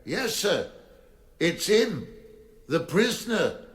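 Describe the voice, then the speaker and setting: Slow, hesitant old English uncle. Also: male voice, high voice, very animated, exaggerated voice. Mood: earnest, flustered. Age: older. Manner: hesitant, plain-spoken. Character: florid old country uncle, plain-spoken, flustered witness. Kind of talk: radio drama acting.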